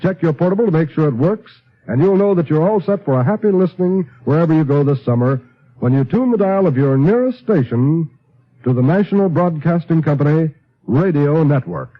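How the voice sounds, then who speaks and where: A man's voice: fairly high pitch, expressive, brisk. An American man making polished, formal scripted announcements in 1950s network radio style, on a vintage broadcast recording. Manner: polished, formal promotional delivery. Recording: muffled vintage radio broadcast, faint music.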